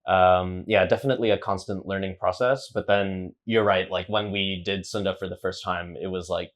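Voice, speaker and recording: A man in his thirties, a DJ and festival co-founder, talking in a relaxed, measured way with frequent fillers. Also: low voice. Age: 30s. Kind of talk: casual interview chat.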